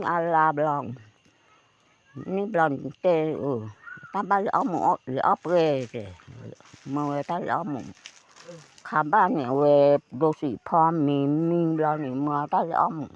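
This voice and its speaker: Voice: mid-range voice, lo-fi sound. A person telling a folk tale aloud in a conversational way. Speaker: folk storyteller.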